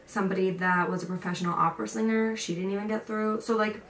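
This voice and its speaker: A woman's voice: mid-range voice, fairly even intonation, fast talker, fairly bright tone. A young woman vlogger telling a story and giving advice in a casual, chatty, emphatic way. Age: young adult. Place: indoor room with a picture on the wall. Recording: roomy echo, distant mic, faint noise.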